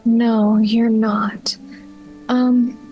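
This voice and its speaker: A woman with a fairly high voice plays in a tabletop roleplaying game. She moves between casual table talk and improvised character lines while soft music plays behind her. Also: level tone, drawn-out words, low-quality recording. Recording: background music under the voice.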